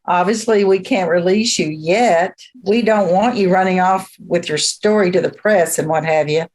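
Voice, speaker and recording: A woman's voice: on the low side, moderate pace, a little mellow, lightly acted. A woman playing a stern, haughty leader of a rural witch coven in a radio play, speaking in a formal, condescending way. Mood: cold, commanding.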